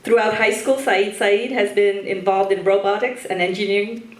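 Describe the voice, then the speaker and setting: A woman's voice: mid-range voice, fairly even intonation, moderate pace. Woman presenting awards in an echoey hall. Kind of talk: semi-scripted announcing of scholars. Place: large hall with an audience. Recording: echoey hall, distant mic, faint noise.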